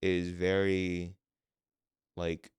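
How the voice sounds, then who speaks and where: A young man co-hosting a podcast in relaxed casual chat, mostly level in tone but bursting into lively swings when he gets passionate. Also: deep voice, very slow, clear, resonant tone, dry recording, studio quality. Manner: says his voice is monotone but jumps around when passionate. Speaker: podcast co-host.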